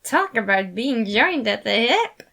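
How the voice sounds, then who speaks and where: A woman voicing a gleeful, mischievous man in a fan fiction reading, with an excitable, giggly, gushing delivery. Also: on the high side, unhurried, gravelly, exaggerated voice. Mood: gleeful, eager. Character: gleeful, manic, mischievous man, the dark creative side.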